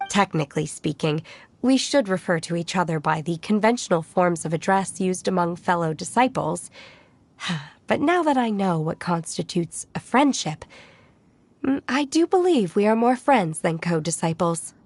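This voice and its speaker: A woman voicing a calm, stoic young disciple of immortals in a video game, formal and measured. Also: lowish voice. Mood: earnest. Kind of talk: video game character acting. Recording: music playing behind.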